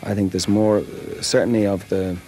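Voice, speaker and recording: A man's voice: fairly low pitch, little pitch movement, normal speed, mellow, soft background music. A man giving a thoughtful documentary interview, musing as he talks.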